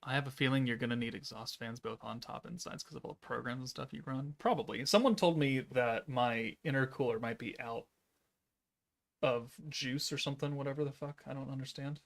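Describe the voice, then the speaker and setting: Male streamer, 30s, gravelly and deadpan, laid-back monotone chat. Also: medium pitch, fairly quick. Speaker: gaming streamer. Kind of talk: casual live-stream chat, reading chat aloud.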